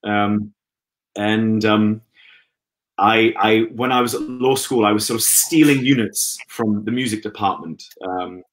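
A man in his thirties from Western Australia, a guest on a video call, talking in an easygoing, hesitant way with many pauses and restarts. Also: lowish voice, rough recording. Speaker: guest, opera singer. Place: indoor room at home. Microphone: laptop webcam on video call.

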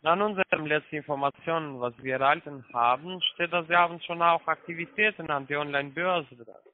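Male caller, non-native accent, higher-than-average pitch, confrontational, thin phone audio. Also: brisk. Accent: foreign, non-native. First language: not German. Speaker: call center scam caller. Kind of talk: phone call. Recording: tinny narrowband phone line.

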